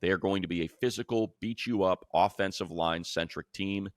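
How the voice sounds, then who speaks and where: Deep-voiced American man talking sports. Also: normal speed, dry recording, studio quality. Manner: emphatic, colloquial, acts out imagined dialogue. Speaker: sports podcast co-host and play-by-play announcer. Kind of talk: unscripted sports analysis.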